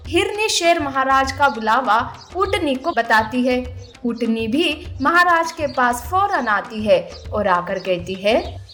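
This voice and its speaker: Female storyteller for children's animal tales: high voice, slow scripted delivery, faint music underneath. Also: animated, a bit bright.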